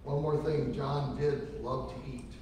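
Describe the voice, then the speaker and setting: A man's voice: medium pitch, fairly even intonation, a little slow, warm tone, slight background noise. Middle-aged male pastor, earnest folksy funeral preacher, roomy church sound from far off. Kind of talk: funeral sermon. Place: large church sanctuary. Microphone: lectern microphone from a far camera.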